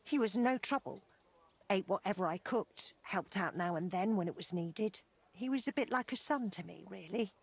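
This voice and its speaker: A woman's voice: mid-range voice, lively intonation, normal speed, creaky, husky. An actress playing a motherly older blacksmith's wife in a video game, plainspoken and wistfully reminiscing. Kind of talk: video game dialogue acting.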